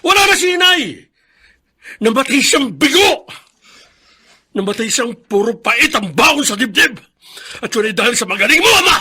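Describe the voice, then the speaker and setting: An actor playing a bitter, unforgiving, hurt eldest son in a Filipino radio drama, with a Filipino accent. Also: male voice, very high-pitched, very animated, moderate pace, pauses often, bright, forceful tone, breathy edge, exaggerated voice. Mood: bitter fury.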